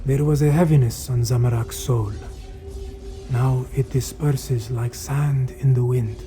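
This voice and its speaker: A man playing a reflective cat-folk warrior monk in a fantasy video game, speaking in a measured, solemn way. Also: mid-range voice, fairly even intonation, over music, natural voice. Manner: speaks of himself in third person, measured, solemn.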